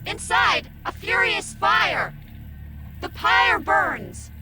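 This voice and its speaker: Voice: very high voice, big pitch swings, very slow, bright, forceful tone, slight background noise, heavily acted, rough recording. An actor voicing a frenzied, fanatical vampire zealot in a video game. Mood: frenzied fury.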